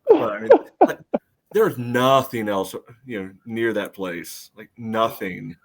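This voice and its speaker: A man's voice: medium pitch, expressive, takes it slowly, creaky. A middle-aged man chatting casually about sports as a podcast host, meandering and often saying "you know.".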